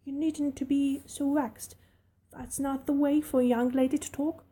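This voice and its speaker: A woman's voice: high-pitched, level tone, moderate pace, warm tone, pitched above the performer's own voice, natural voice. A woman voicing a plain-spoken young Yorkshire housemaid with broad dialect, cheerful and frank. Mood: blunt.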